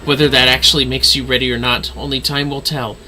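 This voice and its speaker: Man voicing an earnest, bright-toned fantasy astrologian mentor. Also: mid-range voice, little pitch movement. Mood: earnest, solemn. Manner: formal, measured, instructive. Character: earnest, stern young astrologian mentor, formal and protective. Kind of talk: dramatic read-aloud of fantasy game dialogue. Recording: faint background noise.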